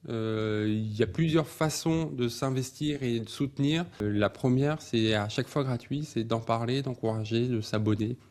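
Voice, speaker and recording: A man's voice: medium pitch, normal speed, few pauses, darkish tone. A man hosting a podcast interview who speaks in an encouraging way, summing up and restating his guest's points. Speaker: podcast host. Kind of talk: casual interview talk.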